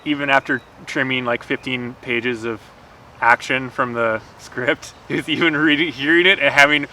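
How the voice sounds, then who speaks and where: A man's voice: mid-range voice, fairly even intonation, moderate pace, pushed and bright, a little hiss or hum. A man chatting casually and agreeably as a guest on a vlog. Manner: conversational, agreeable. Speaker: guest co-writer. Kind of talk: casual chat.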